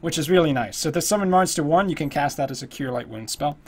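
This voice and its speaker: A slightly hoarse man casually walking through a tabletop character build, thinking aloud with lots of fillers. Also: fairly high pitch, expressive, fast talker. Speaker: tutorial host. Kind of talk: casual screen-recorded game tutorial.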